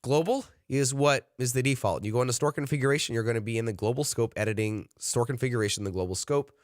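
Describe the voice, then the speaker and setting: Man, study group leader, encouraging, filler-heavy, mid pitch, dry close sound. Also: quick pace, no echo. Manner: earnest, encouraging, many "uh" fillers. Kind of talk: online study session.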